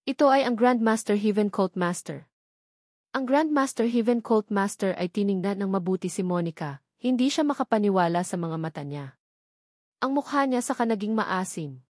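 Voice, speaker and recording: A woman's voice: mid-range voice, lively intonation, normal speed, a little mellow, no echo, studio quality. A woman reading a scripted story as an audiobook narrator.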